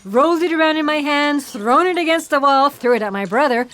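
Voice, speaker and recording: An actress playing an earnest, excitable and quirky paleontologist in comic audio theatre, eager and emphatic. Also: female voice, sits high, brisk. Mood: emphatic, puzzled.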